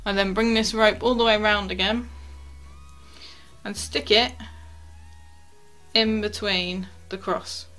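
Young woman, sailing instructor, step-by-step chatty teaching, mid-pitched, soft background music, small wooden cabin. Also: fairly even intonation, takes it slowly, pauses often. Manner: step-by-step, chatty, drops to quiet asides while working the rope. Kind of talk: knot-tying tutorial.

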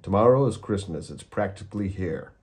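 A man's voice: deep voice, lively intonation, brisk, darkish tone. A man voicing a sour, scheming old male storybook creature with a sneering, growling manner. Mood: sneering, annoyed. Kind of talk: children's storybook read-aloud.

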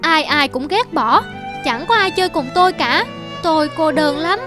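A woman's voice: high voice, lively intonation, moderate pace. A woman voicing a lonely, naive donkey in a cartoon fable, plaintive and earnest. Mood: sad, lonely. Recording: music under the voice.

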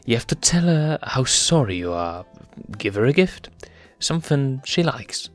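A man voicing a caring adult lioness mother in an amateur comic dub, gentle and advising. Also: medium pitch, very animated, a little slow, faint music behind. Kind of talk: amateur comic fandub acting.

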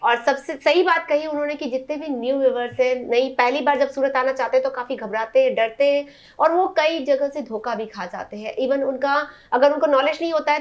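A woman's voice: high-pitched, brisk, no echo, highs cut off. A young woman hosting a saree showcase, chatting enthusiastically and speaking straight to viewers in a shop. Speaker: host presenting sarees. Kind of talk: casual product showcase.

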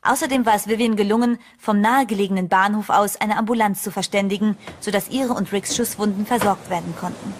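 A woman's voice: medium pitch, brisk, hardly any pauses, close to an everyday voice. An actress playing a young woman caught up in a horror story, narrating and speaking in a German audio drama style. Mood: recounting. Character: young woman narrating her horror adventure, stunned, curious.

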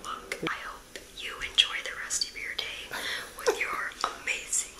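A young woman whispers softly and directly to the listener, playing a hair stylist in an ASMR roleplay. Her breath is bright and crackly, with a roomy sound around it. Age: young adult. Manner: soft, slow-spoken whispering to the listener.

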